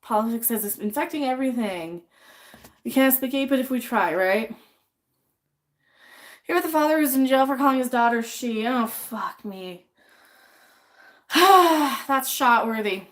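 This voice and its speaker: A woman's voice: a little high, expressive, unhurried, pauses often, fairly bright tone. A young adult woman hosting a casual livestream while she cooks, talking bluntly, swearing freely and rambling, a little sniffly. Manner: reads chat names aloud and answers them. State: a bit sniffly. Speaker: livestream host.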